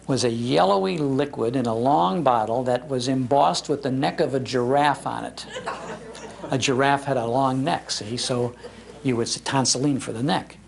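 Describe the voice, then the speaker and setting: Older American male speaker, creaky-voiced, for folksy nostalgic storytelling delivered live with emphasis. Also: mid-range voice. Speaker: speaker giving a talk on old-time radio. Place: public library talk before an audience.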